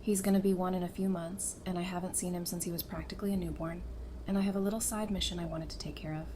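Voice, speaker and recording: A woman's voice: on the low side, steady tone, fast talker, noisy background, natural voice. A woman playing an affectionate, playful survivor in an audio drama, casual and teasing in her delivery.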